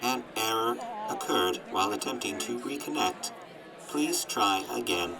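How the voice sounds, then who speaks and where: Emotionless robot, low and distant. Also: male voice, measured pace, loud music behind the voice. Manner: flat, stilted, formal announcements. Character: emotionless Protectron robot reciting status alerts. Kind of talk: post-apocalyptic audio drama acting.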